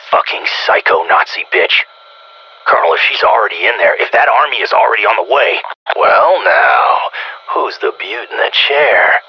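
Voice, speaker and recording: Conceited male weapons scientist, American accent, very husky, low-pitched, sarcastic, condescending, video game character, radio-filtered. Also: exaggerated voice. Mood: angry, alarmed. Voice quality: raspy, gravelly. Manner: condescending, sarcastic, self-congratulatory. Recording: dull, band-limited radio-comms sound.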